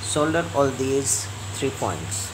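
A man giving a step-by-step hands-on repair tutorial as a technician, in halting, repetitive short phrases. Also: mid-range voice. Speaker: repair technician and channel host. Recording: clear steady hum of room noise.

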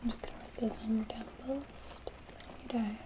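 A woman with a Scottish accent softly whispering as an ASMR artist giving a gentle spa facial. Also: a little high, steady tone, noisy background. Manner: soft, slow whispering, gentle caring attendant.

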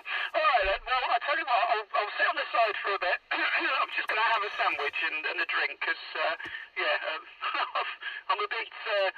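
A man's voice: high voice, slightly rough, no echo, distant mic. A man chatting casually as a radio operator, rambling a little, heard over a radio transmission. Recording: muffled, noisy radio heard through a speaker.

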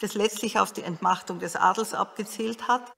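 A woman's voice: medium pitch, moderate pace, vocal fry, pushed and bright. A woman giving an explanatory interview as a history expert, recorded in a reverberant hall.

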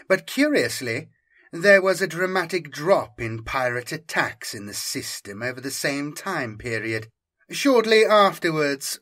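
A man's voice: fairly high pitch, fairly bright tone. A man reading an audiobook, voicing a cold, commanding female inquisitor with a cybernetic eye, her speech curt and imperious. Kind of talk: audiobook character voice.

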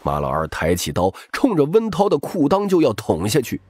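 A man's voice: medium pitch, huge pitch swings, normal speed, a little mellow, slightly rough, no room sound. A man reading scripted, dramatic audiobook narration.

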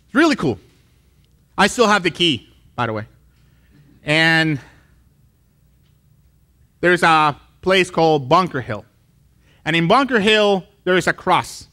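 Pastor guy preaching in church, high-ish voice, bright tone, lively storytelling. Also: takes it slowly, pauses often. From Colombia. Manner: animated storytelling, acts out voices. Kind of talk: sermon. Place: church stage with wood wall.

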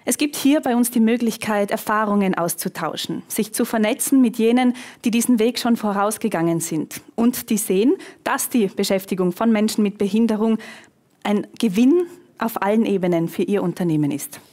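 A woman in her thirties with a Tyrolean Austrian accent, hosting an event on stage in a lively, chatty, self-joking way. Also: medium pitch, normal speed. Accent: Tyrolean Austrian German. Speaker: event host, TV presenter. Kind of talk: event moderation. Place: conference hall on a stage.

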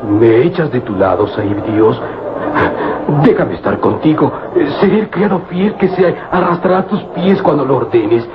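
A man's voice: medium pitch, lively intonation, normal speed, close to an everyday voice. A man acts a fawning, superstitious desert servant from an old radio serial. He pleads and stammers in repeated, florid oaths. The recording is dull, with music running behind him. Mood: pleading.

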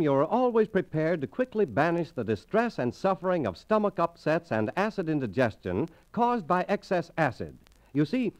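An American man reading scripted 1930s radio advertising as an announcer. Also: on the high side. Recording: restored 1930s radio transcription disc.

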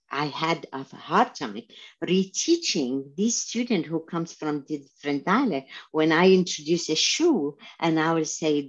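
A woman's voice: deep voice, husky, muffled recording. A middle-aged woman from Syria, an Arabic teacher, speaking earnestly with restarts on a video call through a headset. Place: home room indoors.

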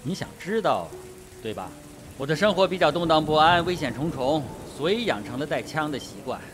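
An actor in a radio drama playing a cool, cavalier colonel and adventurer, assured and sardonic. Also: male voice, a little high, lively intonation, a little fast, natural voice. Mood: cool, wry.

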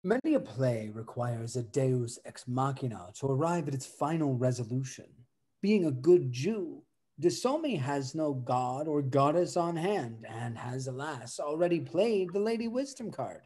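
A man's voice: medium pitch, animated, measured pace, dark tone. A young man reading scholarly narration with a wry touch over a video call from an indoor room. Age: young adult. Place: indoor room with bare wall.

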